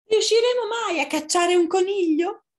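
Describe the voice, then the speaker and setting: A woman voicing a small, bossy, hot-tempered storybook fox in a shrill, emphatic way. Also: moderate pace. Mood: eager, insistent. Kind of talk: children's storybook character voice.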